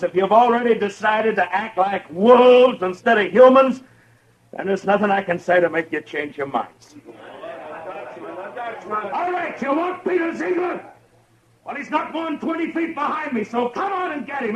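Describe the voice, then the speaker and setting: An American man playing a stern, weary frontier marshal with a terse, authoritative delivery in a Western radio drama. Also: very high-pitched. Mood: defiant challenge. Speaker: radio actor in the lead role. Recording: dull vintage radio recording.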